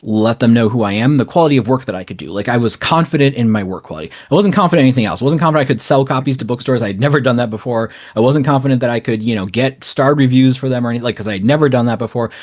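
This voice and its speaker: A man giving casual, explanatory interview answers in long, rambling, informal runs as a guest. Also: medium pitch. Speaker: interview guest, small indie publisher.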